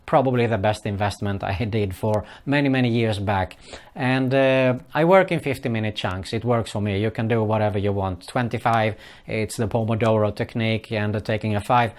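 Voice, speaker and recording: A man's voice: lowish voice, normal speed. A man from Sweden, speaking English as a later language, giving casual advice to viewers with many hesitant fillers and non-native phrasing. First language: Swedish. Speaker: online marketing vlogger. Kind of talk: casual advice talk to camera.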